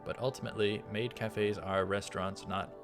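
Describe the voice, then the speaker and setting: A man's voice: fairly low pitch, fairly even intonation, moderate pace. A man hosting a let's play, reading visual novel text aloud and adding casual, offhand comments. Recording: music behind the voice. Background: game music.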